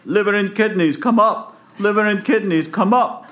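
A man's voice: sits high, moderate pace, pitched above the performer's own voice. A man voicing a foolish, forgetful and apologetic young Irishman in a folktale, with a put-on Northern Irish accent. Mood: eager shouting. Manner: loud, eager calling out and pleading.

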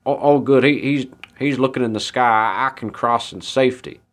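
A man's voice: mid-range voice, normal speed, a bit bright, lightly acted. A man voicing a timid storybook candy maker who stammers and repeats his words in a fluster. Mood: relieved.

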